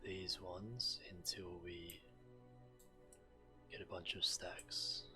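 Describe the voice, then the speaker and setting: Male streamer, low register, casual play-by-play, music clearly behind. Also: level tone, very slow. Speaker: game streamer. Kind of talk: casual gameplay commentary. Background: video game music.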